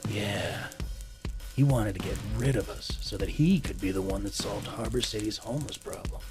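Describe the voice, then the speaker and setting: A man's voice: fairly low pitch, moderate pace, close to an everyday voice. A man playing a bitter, hungry homeless cannibal in an audio drama, telling his tale in a folksy, menacing way. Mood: wry, bitter. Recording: loud music under the voice.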